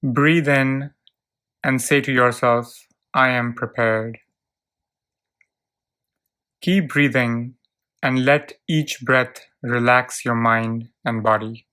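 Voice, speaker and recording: Slow, smooth guided relaxation voice. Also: mid-range voice. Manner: calm, soothing, measured delivery with long pauses. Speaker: guided meditation narrator. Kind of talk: guided visualization, relaxation script.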